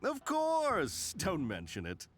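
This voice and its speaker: An actor playing a friendly, chatty, courteous technician in a video game, telling stories in a theatrical way. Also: male voice, sits high, huge pitch swings, slow pace, fairly bright tone, soft background music, full character voice. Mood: courteous, cheerful. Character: friendly, chatty, eager male foreign technician. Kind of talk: video game character acting.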